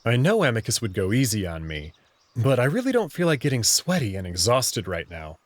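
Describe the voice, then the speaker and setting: A man's voice: medium pitch, huge pitch swings, a little fast, dry recording. A man reading first-person visual novel narration and dialogue as the story's protagonist.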